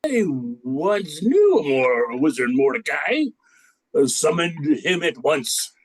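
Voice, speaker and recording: A man's voice: a little high, sing-song, takes it slowly, husky, exaggerated voice. A man playing a fussy, pompous king in a comic radio play, hesitant and blustering. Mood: flustered.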